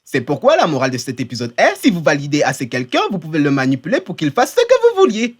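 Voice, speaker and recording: A woman voicing a boastful but cowardly young male outlaw in an animated fan dub, talking in a cocky, rambling, easily flustered way. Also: sits low, fast talker, slightly rough, full character voice. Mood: smug bravado.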